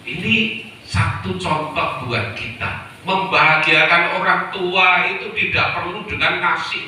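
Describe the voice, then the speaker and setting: A middle-aged man from Solo, giving a Confucian sermon in a storytelling way, slowing down to stress key words. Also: mid-range voice, sharp tone, a little hiss or hum. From Solo, Central Java, Indonesia. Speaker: Confucian preacher. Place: temple hall. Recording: echoey hall, voice from far off.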